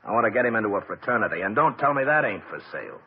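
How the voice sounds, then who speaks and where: A tough middle-aged gangster father with a low, husky voice and blunt street slang. He talks like a man used to buying what he wants. The sound is dull and lo-fi, like an old radio broadcast. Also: lively intonation, very fast. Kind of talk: 1950s radio drama acting.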